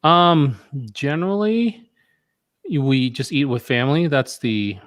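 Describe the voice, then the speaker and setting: A man's voice: fairly high pitch, big pitch swings, slow, a bit bright, dry recording. A man co-hosting a live stream, chatting in a laid-back, easygoing way and asking questions. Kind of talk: casual chat. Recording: dry close sound.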